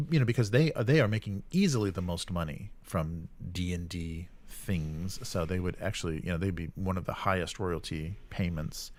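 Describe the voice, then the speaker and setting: Low-voiced man, rambling and halting. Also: darkish tone. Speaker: host of a live tabletop gaming stream. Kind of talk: casual live commentary with reading of chat comments.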